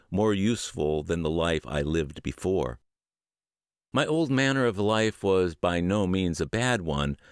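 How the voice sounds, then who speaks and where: A mature man with a deep, low-pitched voice reads as an audiobook narrator. His phrasing is measured and deliberate. The sound is dry, with no room echo. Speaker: audiobook narrator and podcast host.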